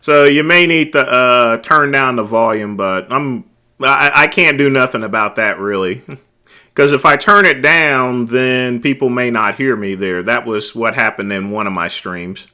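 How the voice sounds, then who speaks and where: A middle-aged man hosting a casual late-night livestream, talking loudly and easygoing, chatting with his viewers. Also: medium pitch, unhurried. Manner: loud, laid-back, drawls filler words like "there". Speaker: livestream host.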